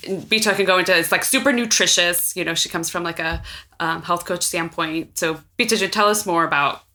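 Bright-voiced woman, rambling casual chat. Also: fairly low pitch, moderate pace. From United States. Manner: rambling, heavy use of fillers like "like" and "you know". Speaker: podcast guest.

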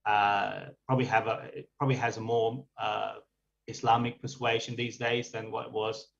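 Man, priest guest, forties, halting speech, low voice, dull lo-fi recording. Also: level tone, gravelly. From Vietnam. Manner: halting, many fillers and restarts. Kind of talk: podcast interview. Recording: dull lo-fi audio.

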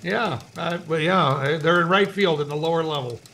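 A man's voice: fairly high pitch, moderate pace, bright, forceful tone, a little hiss or hum. A man hosting a podcast, presenting humorous news bits and interviews. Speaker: podcast host.

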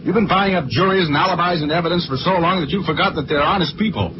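A man's voice: on the high side, quick pace, background noise, lightly acted. An American man playing a tough, blunt, wisecracking private detective in a 1940s radio drama. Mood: blunt, warning. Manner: blunt, wry, colloquial. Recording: muffled 1940s radio recording with music.

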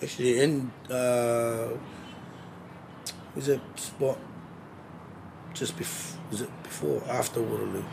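A man's voice: medium pitch, fairly even intonation, slow pace, creaky, pauses often. Swearing, easygoing New man. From New Zealand. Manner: loose, swears constantly, laughs easily. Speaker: musician guest. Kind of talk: casual interview chat. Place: balcony outdoors. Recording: outdoor balcony with background noise.

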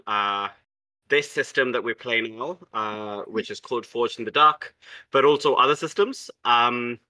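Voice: mid-range voice, pushed and bright, no echo, poor audio. A player from South India who designs games, talking in a playful, theatrical and grandiose way. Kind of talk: casual podcast chat.

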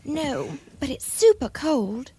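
A woman playing a lovestruck, nervous and excitable teenage British schoolgirl in a radio drama, with a giggly, breathless, gushing manner. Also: sits high, slow, loud music behind the voice, heavily acted. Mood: curious, excited. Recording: noisy radio drama recording.

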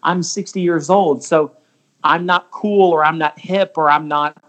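A man's voice: fairly high pitch, steady tone, a little slow, a bit bright, no room sound. A man who is a worship leader, answering interview questions earnestly and explaining his points with homely examples.

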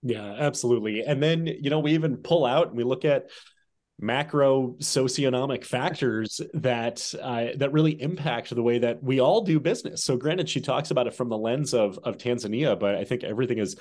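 A man's voice: mid-range voice, moderate pace, a little mellow. A man co-hosting a podcast in a conversational style, with an enthusiastic, affirming manner. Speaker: podcast co-host.

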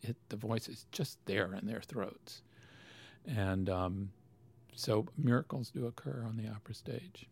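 A man's voice: fairly low pitch, unhurried, gravelly, a little mellow, slightly rough. A man answering interview questions about opera in a thoughtful way, with many fillers and restarts. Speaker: opera expert guest.

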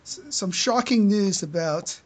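A podcast host chatting casually through fan news, with a halting delivery that often stammers and repeats words. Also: medium pitch.

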